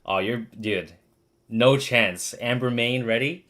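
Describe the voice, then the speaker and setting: A man giving casual, profane and excitable commentary while he plays a video game. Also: mid-range voice, takes it slowly. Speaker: game streamer.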